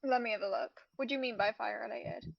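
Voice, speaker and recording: A woman's voice: on the high side, fast talker, gravelly, no room sound. A woman chatting casually as a player in a tabletop roleplaying game over a dull mic.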